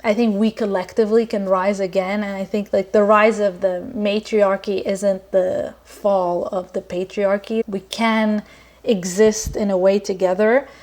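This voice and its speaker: An earnest woman from Lebanon talks through her ideas in relaxed conversation. She circles back often, with plenty of fillers and restarts, and her pitch sits in the middle. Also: unhurried. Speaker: guest. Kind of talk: casual conversation.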